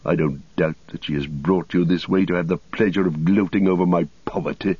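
Guy doing sneering old fallen wizard for an audiobook, cracked deep voice, kinda muffled and echoey. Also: steady tone. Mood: bitter, proud. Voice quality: cracked, husky. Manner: mocking, drawn-out archaic phrasing.

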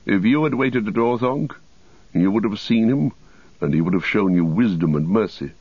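A man voicing a wise, steady old wizard with measured, solemn archaic speech in an audiobook. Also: on the low side, breathy edge, no echo, highs cut off. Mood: solemn reproof.

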